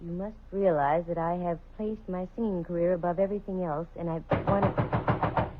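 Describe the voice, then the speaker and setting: An actress playing a brisk, wry female private eye in 1940s radio style. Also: on the low side, steady tone, close to an everyday voice. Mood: searching. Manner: brisk, wry, hard-boiled. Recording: muffled old radio broadcast with hiss.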